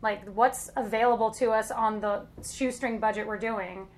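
A high-pitched American woman in relaxed podcast conversation. She speaks playfully and giggles easily as a guest. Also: normal speed. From United States, grew up in Las Vegas. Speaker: podcast guest, web show co-host. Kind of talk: casual chat.

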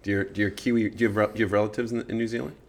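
Host: a low-voiced man running a relaxed chat, laughing easily. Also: very fast. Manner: friendly, laughs often. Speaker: podcast host. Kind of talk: casual interview chat.

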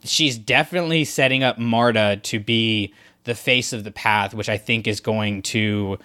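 A man's voice: fairly low pitch, steady tone, normal speed, bright, forceful tone. A man co-hosting a casual discussion podcast, talking things through in a thoughtful, analytical way with frequent restarts. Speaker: podcast co-host.

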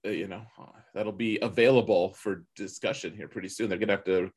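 A man co-hosting a gaming podcast, chatting casually and colloquially with a husky voice and strong opinions. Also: fairly low pitch, fairly even intonation, brisk, darkish tone. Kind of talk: casual chat about a mobile game.

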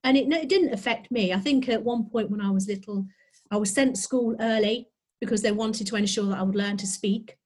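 A middle-aged woman speaking as a guest on a podcast. She talks in a chatty, open way at an even middle pitch. She sounds like a sign language interpreter recording from home. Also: fairly quick, husky. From United Kingdom. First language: British Sign Language. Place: home, lockdown remote call.

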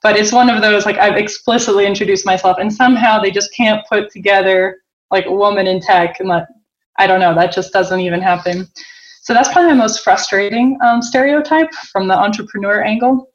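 A woman with an American accent talks in a loose, conversational interview style. Her voice sits at a medium pitch and she acts out other people's remarks as she explains. The recording is a little dull and lo-fi. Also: steady tone, fairly quick. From United States (Boston). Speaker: interview guest, tech entrepreneur. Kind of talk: casual interview talk.

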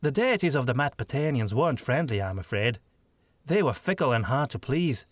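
A man's voice: mid-range voice, animated, fairly quick, no echo, pitched above the performer's own voice, close to an everyday voice. A man voicing a scholarly male guide who explains patiently. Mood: explanatory.

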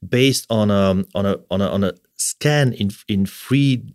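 A middle-aged man with a German accent speaks as a podcast guest, his voice sitting fairly low. He talks in a halting way, full of fillers and restarts. The recording is close and dry, with no room sound. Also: a little mellow, no room sound. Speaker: orthotics specialist guest. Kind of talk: casual interview.

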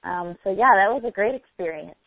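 A woman's voice: medium pitch, lively intonation, creaky, no echo. Earnest woman guest on a tinny line. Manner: earnest, halting, restarts phrases. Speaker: brand designer and guest. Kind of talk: casual interview answers. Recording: tinny phone-like line, dry.

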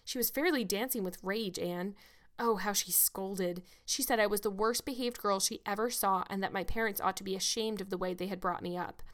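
Storybook role: young best friend, a woman narrator acting the girl, spirited, loyal, quick to indignation. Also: mid-range voice, lightly acted. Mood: gossipy dread.

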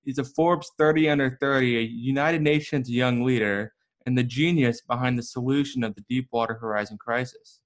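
A man's voice: mid-range voice, steady tone, normal speed, few pauses. A young man hosting a podcast, giving upbeat intros and casual questions with fillers. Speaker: podcast host. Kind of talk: podcast interview.

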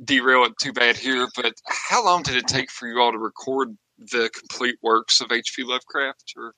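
Very bright, forceful male interviewer. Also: mid-range voice, steady tone, moderate pace, dry recording, poor audio. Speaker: podcast interviewer. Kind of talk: casual chat.

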